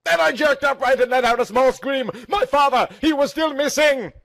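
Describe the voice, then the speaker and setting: A man's voice: extremely high pitch, moderate pace, gravelly, a bit bright, voice pushed up, heavily acted. A man voicing a slender young Viking princess, passionate and determined, in a dramatic, earnest storybook reading. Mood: alarmed.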